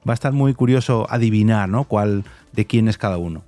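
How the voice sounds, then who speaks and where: A man's voice: lowish voice, fairly quick, dark tone, soft background music. A man with an accent from Spain hosting a podcast interview in a conversational style.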